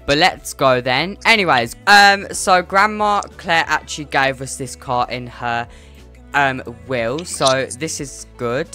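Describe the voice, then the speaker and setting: Woman as rambling game dad, low pitch, bright tone, slightly awkward, faint music. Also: slow, heavily acted. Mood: relaxed narration. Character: chatty, slightly awkward father of a young boy.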